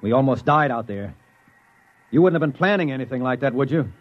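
An actor playing a tough, hot-tempered male colonist who snaps gruff slang in a 1950s radio drama. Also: medium pitch, animated, a little fast, close to an everyday voice. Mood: angry, threatening. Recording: dull vintage radio recording.

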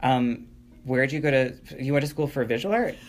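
A man from Wisconsin co-hosting a podcast, chatting casually with a teasing, deadpan dark humor. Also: mid-range voice, moderate pace. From Wisconsin, USA. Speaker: podcast co-host. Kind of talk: casual chat.

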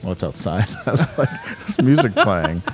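A man with a low voice talks loosely and profanely into the mic, his pitch rising and falling a lot. He co-hosts a podcast from an apartment room, with faint music playing behind him. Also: sing-song, normal speed. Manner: loose, profane, mumbling asides. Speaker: podcast co-host. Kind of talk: casual banter.

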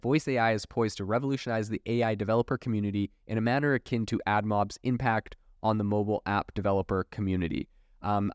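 A man's voice: lowish voice, no echo, clean studio sound. A man hosting a solo tech podcast, giving informal news commentary peppered with "you know" and "like". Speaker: podcast host.

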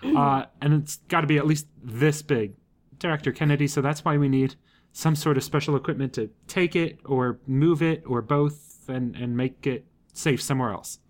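A man's voice: a little high, moderate pace. A man playing in a tabletop audio drama, talking casually and improvising in character. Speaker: player on tabletop show. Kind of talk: casual improvised role-play.

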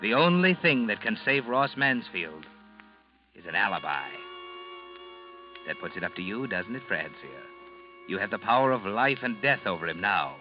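An actor playing an omniscient, sinister narrator in an old radio thriller, speaking in an ominous, accusing way. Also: male voice, fairly low pitch, very animated, normal speed, long pauses. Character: omniscient sinister male narrator addressing the guilty woman. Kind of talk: 1940s radio thriller narration, second person. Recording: muffled vintage radio recording, loud music. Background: dramatic musical score.

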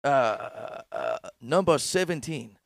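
Young male co-host, animated pitch, quick laughs, dry close mic. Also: gravelly, no echo. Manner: lively, laughing often, game-show voice bits. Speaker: podcast co-host. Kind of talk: crude comedic podcast banter. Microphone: studio podcast microphone.

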